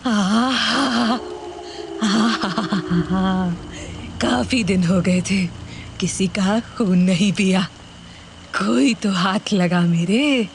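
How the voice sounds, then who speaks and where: A woman's voice: medium pitch, very slow, gravelly, slight background noise. A woman voicing a bloodthirsty witch ghost in a cartoon horror story, drawing out her threats menacingly. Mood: gloating, hungry. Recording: music behind the voice.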